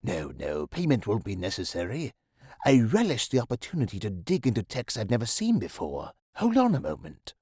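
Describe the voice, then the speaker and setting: An actor playing a busy, modest academic professor, formal and articulate, somewhat fussy and evasive, in a video game. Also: male voice, lowish voice, wildly swooping pitch, warm tone, breathy edge, dry recording, studio quality, heavily acted. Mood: intrigued. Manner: formal, articulate, slightly hurried. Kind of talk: scripted point-and-click game dialogue.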